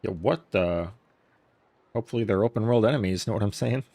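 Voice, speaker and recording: A man's voice: fairly low pitch, a little fast, mellow, no room sound. A man giving casual live game commentary in a laid-back, slangy way. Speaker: game streamer. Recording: game music behind the voice.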